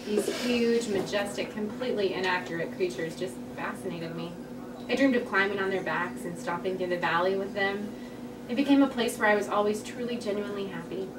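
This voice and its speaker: A woman acts out a young comic artist, earnest and wry, in a candid conversational register at middling pitch. It is a script read in a roomy space with clear background noise. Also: a little fast, close to an everyday voice. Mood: wistful. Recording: echoey, noisy room, distant mic.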